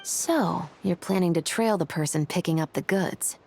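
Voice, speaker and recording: A woman's voice: lowish voice, normal speed, vocal fry, a little mellow, breathy edge. A woman playing a shrewd, skeptical investigator in a video game, speaking in a dry, matter-of-fact way.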